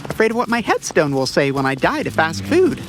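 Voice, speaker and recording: A woman's voice: lowish voice, big pitch swings, fairly quick, slight background noise. A woman playing a persnickety, sarcastic detective sidekick in a comedic radio drama, with dry, sardonic wit.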